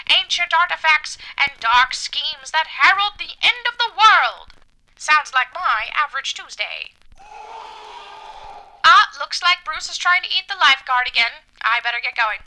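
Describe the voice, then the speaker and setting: A campy macabre show host, super high and sharp, droll wisecracks, theatrical. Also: female voice, expressive, normal speed, full character voice, poor audio. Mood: droll, chipper. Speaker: comic host of an anthology show. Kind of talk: scripted comic host segment.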